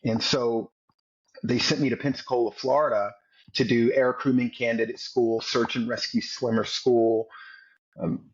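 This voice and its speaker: Husky-voiced man in his forties. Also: mid-range voice, lo-fi sound. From United States. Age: 40s. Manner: earnest, repeats words while telling a story. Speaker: podcast guest, former Navy SEAL. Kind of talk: storytelling interview.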